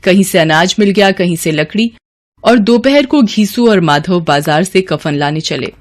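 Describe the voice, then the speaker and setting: A woman's voice: on the low side, expressive, moderate pace. A woman reading scripted literary story narration as the narrator.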